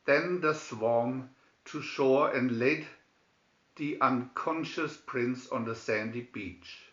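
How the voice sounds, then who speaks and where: A man narrates a children's fairy tale at a slow, measured pace. His voice sits at a middle pitch, and the recording is roomy and somewhat dull. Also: a bit bright. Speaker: storybook reader.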